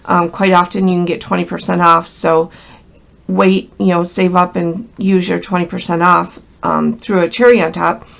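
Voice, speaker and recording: A woman's voice: lowish voice, flat delivery, moderate pace, creaky. An American woman with a crackly voice from a cold gives a casual, chatty hands-on craft tutorial, speaking directly and in a friendly way to viewers. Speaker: craft instructor.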